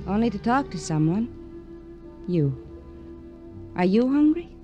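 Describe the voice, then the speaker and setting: An actress playing a lonely, enigmatic young woman in an old radio noir drama, with yearning, deliberate phrasing. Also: mid-range voice, big pitch swings. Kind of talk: late-1940s to 1950s radio noir melodrama acting. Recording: dull old radio recording, music behind.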